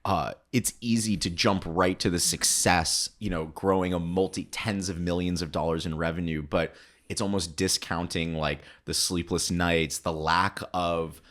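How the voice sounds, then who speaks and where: A man's voice: deep voice, steady tone, normal speed, a bit bright. A man speaking conversationally as the host of a podcast interview.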